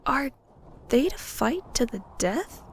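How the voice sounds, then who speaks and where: Casting: actress as a curious, polite female underworld ghost, higher-pitched, hesitant and giggly, with some fry, over faint noise. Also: sing-song, slow, exaggerated voice. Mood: shy, curious. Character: shy, curious young female ghost, newly arrived in the underworld. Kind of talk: audio roleplay, intimate conversational acting.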